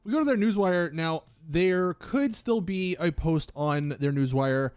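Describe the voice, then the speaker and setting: A man's voice: fairly high pitch, unhurried, a little mellow, smooth, no room sound. A man presenting gaming news commentary as a video host, explaining things in an emphatic, casual way. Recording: background music under dry voice. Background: music.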